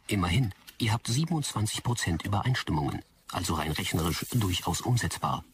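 Low-voiced, dry AI assistant. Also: male voice, animated, brisk, recorded from a distance, background noise. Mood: teasing. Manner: precise, recites figures, dryly teasing. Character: male personal AI assistant, dryly efficient, nagging, faintly cheeky. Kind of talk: sci-fi audio drama acting.

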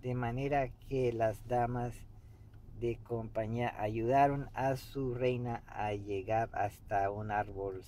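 A man in his fifties reading a book aloud meditatively in a halting way, pausing mid-sentence, recorded inside a car. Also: mid-range voice. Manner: halting, pauses mid-sentence, clears throat. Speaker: amateur reader of a book. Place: inside a parked car by a street. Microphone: camera an arm's length or so away. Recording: steady background noise inside a car.

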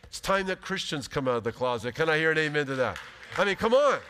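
A pastor in his fifties giving an animated, emphatic sermon from a church stage. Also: a little high, fast talker, noisy background. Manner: animated, emphatic preaching with big gestures. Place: large church stage, indoors. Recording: long hall reverb.

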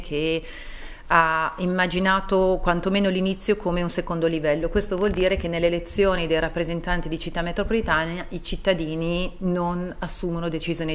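A middle-aged woman with a low voice speaks into a table mic in a TV studio. She explains things earnestly and at a measured pace, pausing often to gather her thoughts. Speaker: town mayor, guest. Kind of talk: studio interview.

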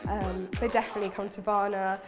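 A woman's voice: mid-range voice, little pitch movement, fast talker, over music. A woman, a student, speaking enthusiastically. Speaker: medical student. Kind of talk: interview testimonial.

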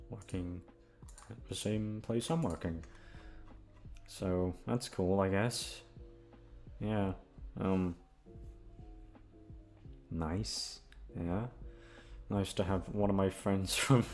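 A young man with a low voice and plenty of vocal fry rambles casually to his listeners. He is halting, profane and self-deprecating, as if unsure what to say next. Faint music plays behind him. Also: a little mellow. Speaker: audio voice actor, channel host. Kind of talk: rambling casual outro chat.

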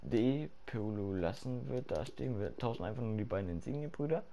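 A hoarse man talking through his game setup in casual commentary, swearing freely and thinking aloud with fillers, late at night. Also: on the low side, a little fast, slight background noise. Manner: loud and excited at the start, quieter later. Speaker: gaming YouTuber. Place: home room at night.

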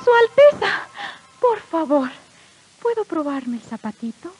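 An actress playing a gentle, earnest young fairy-tale heroine on a 1950s storybook record. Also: female voice, very high voice, sing-song, measured pace, exaggerated voice. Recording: vintage 1950s record with music.

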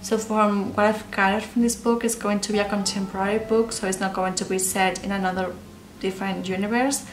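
A woman's voice: medium pitch, fairly bright tone. A young woman from Spain with a Spanish accent, chatting casually about books on camera in a small room at home. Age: young adult. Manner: chatty, gestures a lot with her hands. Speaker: book vlogger. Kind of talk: casual chat. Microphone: camera or laptop mic facing her. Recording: roomy echo with music underneath.

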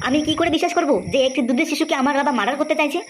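A woman's voice: high voice, rapid-fire, a little hiss or hum, lightly acted. A woman voicing a captive young woman, someone's daughter, who protests and pleads in a comic cartoon. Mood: disbelieving.

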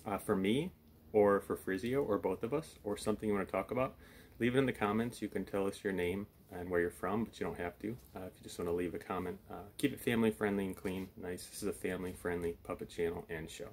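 A man's voice: low voice, fast, slightly rough. A man in his thirties chatting casually to camera at home as host of a puppet show. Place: small room at home, indoors. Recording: faint background noise, home camera mic.

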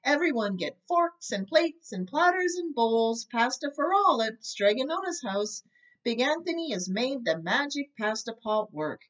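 A boastful, foolish young storybook lad, played by a woman reader, eagerly proclaiming and chanting. The voice is high and very animated, slightly dull on the recording. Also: measured pace, far from the mic. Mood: boastful, excited.

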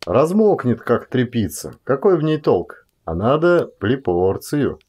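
A man's voice: medium pitch, very animated, a little slow, darkish tone, breathy edge. A man voicing a staid, hospitable rustic forest warden with folksy, sedate speech in an audiobook. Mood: instructive.

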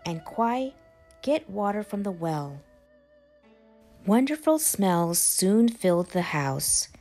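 A woman reading a children's picture book aloud in a measured, animated storytelling manner. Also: mid-range voice. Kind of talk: expressive storybook read-aloud. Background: background music.

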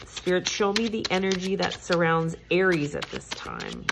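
Low-voiced congested woman reading tarot. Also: huge pitch swings, moderate pace, gravelly, noisy background. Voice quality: nasal. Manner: gentle, repetitive, reassuring. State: congested, stuffed-up nose. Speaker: tarot reader. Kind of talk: casual tarot reading monologue. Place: home, at a table.

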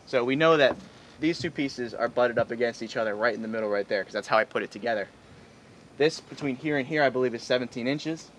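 A man's voice: medium pitch, fast talker, a bit bright. An American man in his thirties explains things casually to camera as a vlog host, outdoors on a boat. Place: outdoors on a sailboat in a boatyard. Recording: outdoor recording with ambient noise.

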